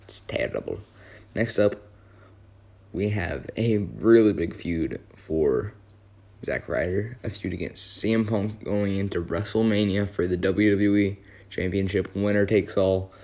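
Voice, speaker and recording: A hoarse young man, his voice worn from yelling, rambling casually through a wrestling talk with many fillers and self-corrections. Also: low voice, measured pace. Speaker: wrestling video host. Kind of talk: casual fantasy-booking commentary.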